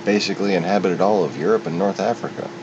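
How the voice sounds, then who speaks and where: A man with a very low voice delivers an off-the-cuff monologue. He is opinionated and wandering, with halting phrases. The recording is muffled, with a loud, steady rumble behind him. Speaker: solo commentator. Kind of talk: unscripted rambling monologue.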